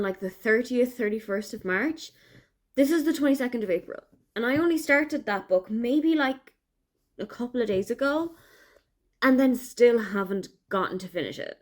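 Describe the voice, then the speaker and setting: A woman's voice: on the high side, darkish tone. Chatty Irish woman vlogging about books. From Ireland. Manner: chatty, enthusiastic, thinking aloud. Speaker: book vlogger. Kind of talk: casual vlog diary.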